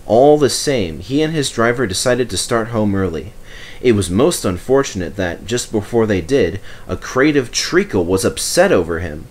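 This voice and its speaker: A man's voice: on the low side, expressive, moderate pace. A man reading a scripted children's story aloud as narrator. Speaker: storybook reader.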